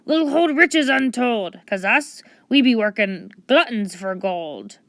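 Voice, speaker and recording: A woman voicing a gruff, blustering male pirate captain with a stage pirate accent in a storybook. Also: fairly high pitch, lively intonation, slow pace, fairly bright tone, dry recording, exaggerated voice. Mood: boastful. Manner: barking, snarling, boastful.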